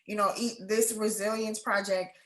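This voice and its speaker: A woman hosting a conversation, a writing coach, speaking in a heartfelt, halting way. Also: mid-range voice, flat delivery. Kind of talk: casual conversation. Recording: echoey room.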